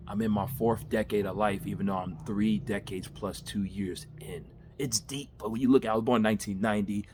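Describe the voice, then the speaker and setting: A man's voice: fairly low pitch, fairly even intonation, fairly quick, background noise. A man in his thirties giving a candid, rambling motivational monologue in his backyard, laughing at himself and swearing casually. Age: 30s. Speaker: vlogger.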